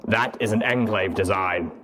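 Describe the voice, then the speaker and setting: A man voicing a stern, gruff male soldier pony in armor, stiff, authoritative and demanding. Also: on the low side, normal speed, noisy background, natural voice. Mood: flat, factual.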